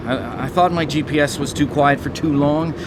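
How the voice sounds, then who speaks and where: A man's voice: a little high, normal speed, background noise, natural voice. An actor playing an edgy, secretive killer in a live radio drama, testy and hesitant in his delivery. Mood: uneasy, evasive.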